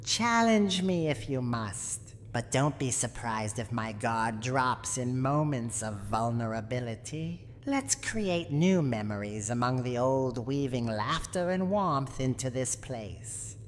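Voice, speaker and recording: Very deep, slow tsundere granny played by a woman. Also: expressive, full character voice. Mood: wistful, tender. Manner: wistful, teasing bravado, slow dramatic delivery. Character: tough, guarded tsundere granny, secretly lonely and tender. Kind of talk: roleplay ASMR, scripted monologue. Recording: noisy, long reverberant tail.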